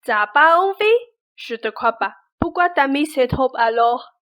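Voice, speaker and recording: Woman playing a blunt bossy best-friend type in a radio drama, high voice, insistent but caring. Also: animated, unhurried, a bit bright, smooth. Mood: impatient, scolding. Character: blunt, pushy but caring female confidante urging Cinderella onward. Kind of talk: French radio play acting.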